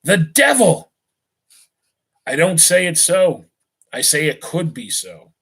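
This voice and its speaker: A man's voice: fairly high pitch, very animated, measured pace, fairly bright tone, breathy edge, full character voice. A man reading the lines of a distrustful British army sergeant from a storybook, in a muttering, suspicious way.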